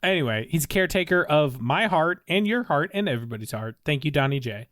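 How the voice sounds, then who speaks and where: Man, smooth resonant voice, slightly high, dry close sound, earnest, stammering. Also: animated, quick pace, fairly bright tone, dry recording. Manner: earnest and self-important, stammering restarts. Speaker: student in a mock class. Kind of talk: comedic improvised roleplay.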